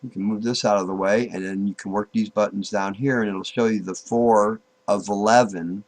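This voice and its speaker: A man giving an informal, unscripted software tutorial, thinking aloud, halting and repeating himself as he goes. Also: on the low side, steady tone. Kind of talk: informal screen-recorded tutorial, unscripted walk-through.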